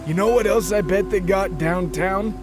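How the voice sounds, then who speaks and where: Guy doing a dopey rambling husband, kinda high voice, always distracted, comedy story with music under it. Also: close to an everyday voice. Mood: earnest. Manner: hesitant, slow-witted, rambling. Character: dim, distracted, pizza-obsessed suburban husband. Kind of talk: comic audio storytelling.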